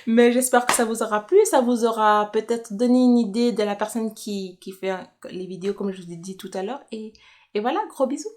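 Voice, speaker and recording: A woman's voice: a little high, steady tone, a little fast, noisy background. Chatty young woman vlogger. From Congolese, from Kinshasa, living in Switzerland. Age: young adult. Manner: chatty, self-correcting, thinking aloud. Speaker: YouTuber. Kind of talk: casual personal question-and-answer vlog.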